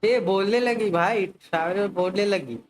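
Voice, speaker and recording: A man's voice: high voice, racing. A man with an Indian accent chatting casually as he streams a game live.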